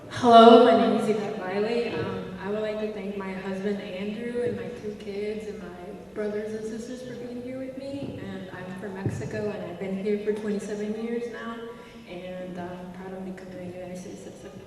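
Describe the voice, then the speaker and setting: A woman's voice: medium pitch, steady tone, moderate pace, a crowd in the background. A woman speaking steadily and proudly as a new citizen. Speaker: new citizen giving a short speech. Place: large courtroom or hall. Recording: echoey hall with audience, far off.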